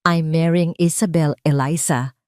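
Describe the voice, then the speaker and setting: A woman's voice: low voice, fairly even intonation, unhurried, darkish tone, no echo, studio quality. Woman voicing a cold, threatening Filipino husband. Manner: cold, menacing, declarative.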